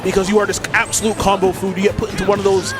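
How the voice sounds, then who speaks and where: A young man from Toronto doing energetic, joking live esports commentary. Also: high voice, racing, over music. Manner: energetic, joking, hypes plays.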